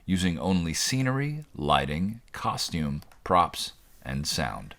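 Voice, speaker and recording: A man's voice: sits low, big pitch swings, slow pace, fairly bright tone. A man reading scripted narration for a student showcase program. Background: guitar music.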